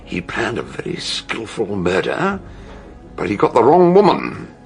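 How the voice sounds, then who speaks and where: An actor with a British accent playing a shrewd older detective doctor in a radio drama, telling his deductions with emphatic, dramatic pauses. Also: lowish voice, huge pitch swings, gravelly, faint music behind, full character voice. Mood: shrewd, intrigued. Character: shrewd, theatrical older male detective doctor explaining a murder.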